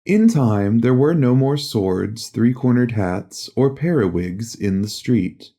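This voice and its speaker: A man narrating a literary audiobook in a measured, solemn storytelling manner. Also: mid-range voice, lively intonation, mellow.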